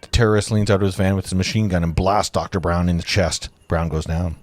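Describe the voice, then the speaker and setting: A low-pitched man reads screenplay directions aloud in a casual read-through. The sound is dry and close, and his delivery is informal, with asides to the others at the table. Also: fairly even intonation, fast talker, no echo. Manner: informal, breaks off to comment and joke. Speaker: narrator reading stage directions at a table read.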